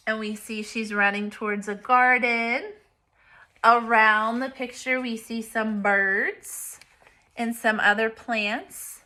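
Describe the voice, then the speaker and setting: Female primary teacher for picture-book read-aloud: crisp bright tone, unhurried pace, simple explanations for little ones. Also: fairly high pitch, steady tone. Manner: simple, deliberate explaining to young children. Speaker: elementary teacher reading aloud.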